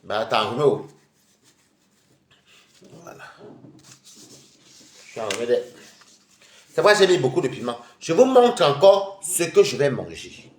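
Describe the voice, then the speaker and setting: A man's voice: mid-range voice, sing-song, unhurried, pauses often, echoey room, slight background noise. A man with a head cold, chatting casually with viewers on a live stream from home while he eats. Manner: chatty and rambling, addressing viewers directly.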